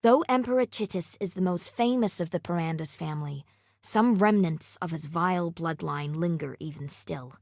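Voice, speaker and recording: Solemn, vengeful assassin, close and dry. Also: female voice, lowish voice, a little mellow, no echo. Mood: bitter, vengeful. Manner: measured, formal, grave delivery. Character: solemn, vengeful last survivor of a secret assassin order. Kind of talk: scripted video game character dialogue.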